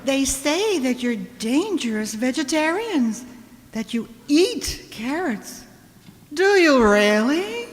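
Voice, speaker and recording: A coy young society lady of the vegetable world played by a woman, high-voiced and theatrical. Her pitch swoops as she lingers over gushing, affected phrases in a languid drawl. It is a comic radio play with a hall's reverb around it. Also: sing-song, slow pace, heavily acted. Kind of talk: satirical radio play acting. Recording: hall-like stage reverb.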